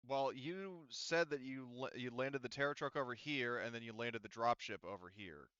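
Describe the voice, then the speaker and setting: A man running a tabletop roleplaying game as game master, casual and quick to laugh, talking through fillers. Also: medium pitch, a bit bright, smooth, dry recording, highs cut off.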